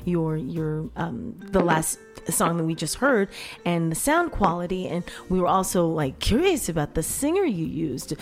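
A woman's voice: lowish voice, big pitch swings, unhurried, soft background music. A woman co-hosting a radio interview, casual, often repeating words as she searches for them. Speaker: radio co-host.

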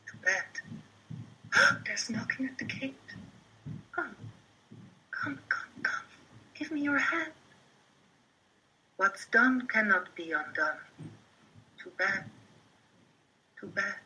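A woman with a Dutch accent voicing a guilt-ridden, unhinged sleepwalking noblewoman in a Shakespeare monologue, moving between loud cries and hushed whispers. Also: medium pitch, expressive, slow, long pauses, heavily acted, poor audio. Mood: haunted.

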